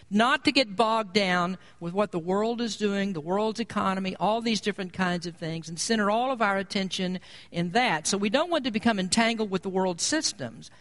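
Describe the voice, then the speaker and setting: An American man preaches as a pastor in a noticeably high-pitched voice. He explains his points in an earnest, measured manner, in the style of an expository sermon. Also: fairly bright tone.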